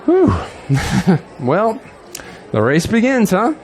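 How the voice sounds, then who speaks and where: Confident veteran politician played by a man, lively and exuberant, fairly high male voice, radio-drama style. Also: extremely slow, a little hiss or hum, full character voice. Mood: relaxed, friendly. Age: middle-aged. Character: seasoned, self-assured male politician running for president. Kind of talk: audio drama acting.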